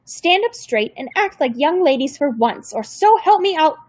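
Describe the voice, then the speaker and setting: A shrill, stern old crone of a caseworker, as a woman reader puts her on. She barks orders in a raised, pinched voice, all threat under forced politeness. Dry, close storybook reading. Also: animated, moderate pace, no room sound. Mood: threatening. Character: mean bossy old female caseworker.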